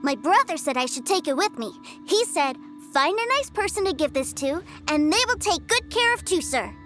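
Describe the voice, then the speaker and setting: High-pitched, earnest little boy. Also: sing-song, moderate pace. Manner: earnest, childlike, emphatic. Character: cheerful, trusting little boy devoted to his big brother. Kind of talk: video game voice acting. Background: prominent music.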